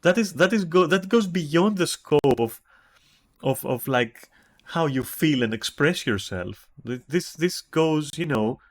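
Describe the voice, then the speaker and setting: A man's voice: on the high side, lively intonation, a little slow. A man from Greece with a Greek accent, streaming and reacting to a text, thinking aloud in a puzzled way with many restarts.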